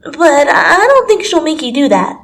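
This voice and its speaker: A woman voicing an excitable, chatty, insistent second-grade girl in a dramatic story reading. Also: high-pitched, normal speed, a little hiss or hum, voice pushed up. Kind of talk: dramatic fan fiction reading. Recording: music under the voice.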